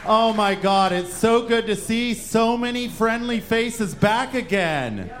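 A man's voice: sits high, expressive, slow, fairly bright tone, some chatter behind. A man co-hosting a live comedy show, bantering with the audience in a mock-earnest, repetitive way. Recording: noisy live venue with audience.

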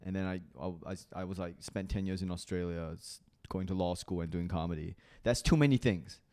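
Man, 30s, international school accent, from Malaysia, slightly low voice, animated comedian, laughs and swears. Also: brisk, clean tone. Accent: international school English. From Malaysia, raised in Singapore. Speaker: comedian guest. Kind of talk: casual chat.

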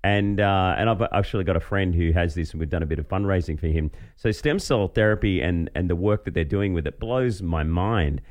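A man's voice: low voice, a little fast, few pauses, darkish tone. A man with an Australian accent hosting a podcast in an informal, self-mocking, chatty way. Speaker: podcast host; professional voiceover artist. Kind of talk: casual interview chat.

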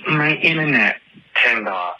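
Sharp, raspy man rambling in a voicemail. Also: mid-range voice, expressive, measured pace, low-quality recording. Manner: chatty, dramatic, self-deprecating motormouth. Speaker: listener calling in with a voice message. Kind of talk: casual rambling storytelling.

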